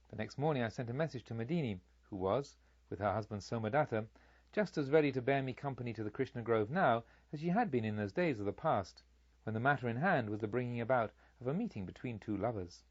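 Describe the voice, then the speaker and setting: A man reading a literary story aloud as an audiobook narrator, in a measured, formal storytelling manner. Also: mid-range voice, lively intonation, dry recording, muffled recording.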